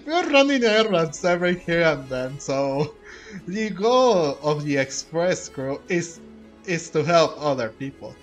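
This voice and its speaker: A man giving casual let's play commentary, haltingly, repeating words as he searches for phrasing. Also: on the high side, sing-song, soft background music. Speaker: gaming streamer.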